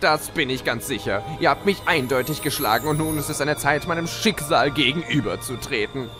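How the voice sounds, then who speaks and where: A man's voice: fairly high pitch, brisk, music in the background, lightly acted. An actor playing a gleeful chaos spirit, a theatrical, mocking villain who narrates his own tale in an audio drama. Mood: mock-solemn.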